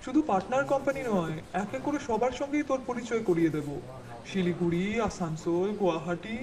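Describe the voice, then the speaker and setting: A man acting a high-voiced, brusque, teasing businessman. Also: fairly quick, a crowd in the background, natural voice. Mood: jovial, teasing. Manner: familiar, chatty, brusque. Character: jovial, teasing, bossy male business partner and husband. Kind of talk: audiobook dramatized dialogue.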